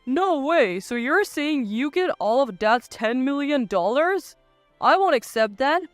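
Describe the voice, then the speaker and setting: A bullying, entitled brother in a family drama story, played by a woman in a high voice. The delivery is blustering and domineering, with lively swings in pitch, recorded dry over faint music. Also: a little slow, dry recording. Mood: angry, domineering. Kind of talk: acted dialogue in narrated story.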